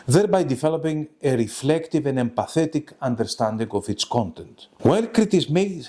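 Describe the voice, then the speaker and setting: Man lecturing formally with lively, swooping intonation. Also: medium pitch. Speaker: lecturer presenting a research webinar. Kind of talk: academic presentation, partly read from a script.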